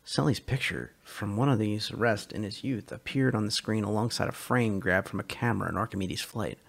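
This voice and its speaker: A man's voice: fairly low pitch, brisk, gravelly. A man giving a dramatic reading of a short story as narrator.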